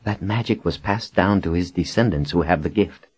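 A man's voice: sits low, normal speed, darkish tone, husky, no echo. A man voicing a resolute, reassuring woman leader in an audiobook, speaking firmly and earnestly. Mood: earnest explaining.

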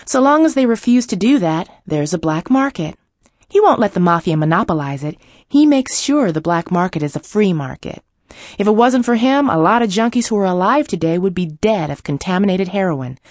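An actress playing a poised, skeptical film star with a pert Cockney accent in dramatized audiobook dialogue. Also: female voice, medium pitch, lively intonation, fairly quick, a little mellow, dry recording, clean studio sound, close to an everyday voice. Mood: earnest, explanatory. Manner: pert, challenging.

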